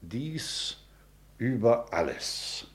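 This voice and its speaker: A man's voice: medium pitch, very animated, very slow, exaggerated voice. An actor playing a pompous, scolding old courtier and father in a German radio play, speaking sententiously and theatrically. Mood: solemn, advising.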